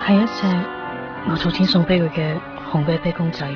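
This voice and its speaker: A woman playing a wistful, heartbroken young woman who narrates a radio drama in measured, reflective phrases. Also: lowish voice, fairly even intonation, lightly acted. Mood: fond, nostalgic. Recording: muffled 1990s radio recording, music behind.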